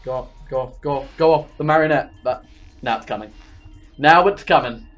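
A man's voice: on the high side, a little fast, a bit bright, faint music behind. A young man chatting casually as he coaches a video game player, playful and given to short repeated instructions. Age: young adult. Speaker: gaming channel host coaching a player. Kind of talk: casual gaming chat and coaching.

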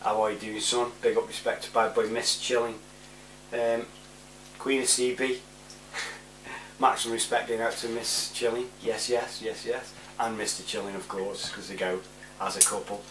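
A British man with a fairly low voice talks casually to the camera. He rambles in a laid-back way, as a vlogger would. The sound is echoey and a little distant from the microphone. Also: flat delivery, moderate pace, a bit bright. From United Kingdom. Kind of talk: casual chat to camera, giving shout-outs. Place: small room indoors at home. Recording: roomy, distant mic with faint hum.

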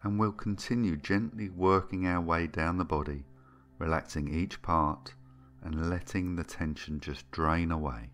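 A man narrating a guided meditation in a soothing, gentle and unhurried manner, leaving long pauses between phrases. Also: low voice. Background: background music.

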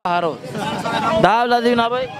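A man's voice: very high voice, moderate pace, a crowd in the background. A young man hosting a joking street interview on a busy city street, holding a handheld mic and teasing people for answers. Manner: brash, teasing, pushes for answers. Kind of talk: joking street vox pop.

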